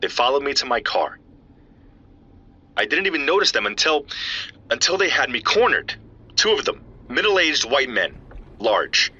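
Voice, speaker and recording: A worried friend who pleads urgently over the phone, his husky tone sharp and bright. His pitch swings widely as he presses his point. The sound is dull and lo-fi, as if it were a phone line. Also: very animated, normal speed, long pauses, heavily acted. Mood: earnest. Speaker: caller. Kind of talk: audio drama acting.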